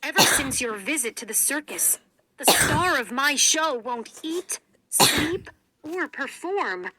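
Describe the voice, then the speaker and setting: A woman acts out a storybook circus owner, a man of formal, earnest manner. He speaks slowly and deliberately, with a bright, forceful tone in a dry, close recording. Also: sits high, lively intonation, no room sound, heavily acted. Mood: solemn, sad. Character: circus owner, a man, showy announcer turned nervous and solemn.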